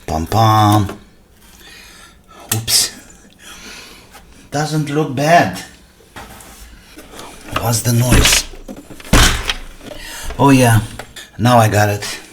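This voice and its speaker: A man, an English speaker, thinking aloud in a casual, halting way as he hosts a hands-on repair vlog, muttering asides to himself. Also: medium pitch. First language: not English. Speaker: host of an electronics repair channel. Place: indoor workbench. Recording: noisy workbench with clicks and clatter.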